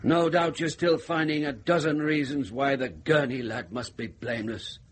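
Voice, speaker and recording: An actor playing a scornful medieval sergeant in a radio drama, blustering, sneering and quick to accuse. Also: male voice, mid-range voice, slight background noise, natural voice. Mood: scornful, accusing.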